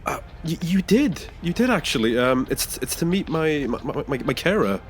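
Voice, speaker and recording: A man's voice: on the high side, sing-song, normal speed. A man playing a nervous, earnest passenger in an audio drama, speaking hesitantly with small stammers. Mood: nervous, defensive. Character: nervous ageing male passenger seeking a new body. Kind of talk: naturalistic audio drama acting.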